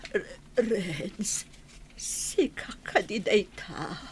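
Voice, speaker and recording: A woman playing a weak, remorseful older mother-in-law in an Ilocano radio drama, speaking haltingly. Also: mid-range voice, lively intonation. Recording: faint ambient noise.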